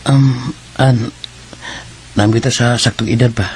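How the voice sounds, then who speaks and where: An actor playing a young, possessive Bisaya suitor in a Filipino radio drama. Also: male voice, medium pitch, fairly even intonation, unhurried, darkish tone, a little hiss or hum, natural voice. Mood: earnest, pleading. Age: young adult. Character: young male suitor, earnest, possessive and jealous.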